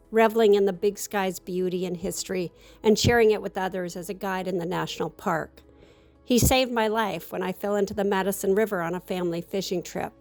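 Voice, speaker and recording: A woman's voice: medium pitch, vocal fry, a little mellow. A woman reading a prepared memorial tribute to a lost sibling. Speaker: bereaved sister giving a eulogy.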